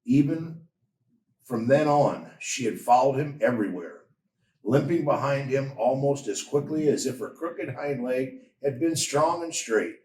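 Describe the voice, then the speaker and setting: A man narrates a storybook tale with slow, measured expression. His voice sits at an ordinary male pitch and comes from a little way off, with the room echoing around it. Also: lively intonation, a little mellow. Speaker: story reader. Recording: roomy echo, distant from mic.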